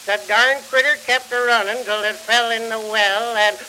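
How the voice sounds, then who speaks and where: A man's voice: very high voice, fairly even intonation, moderate pace, bright, forceful tone, exaggerated voice. A man playing a cheerful, folksy country storyteller with a rural American accent in an early 1900s comic monologue, on a hissy, crackling phonograph recording. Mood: folksy, jovial. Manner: homespun, chuckles between anecdotes.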